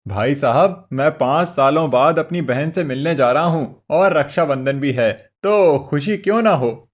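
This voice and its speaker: A man playing a gentle, devoted younger brother in a cartoon moral story, earnest and respectful in manner. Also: a little high, unhurried. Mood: happy.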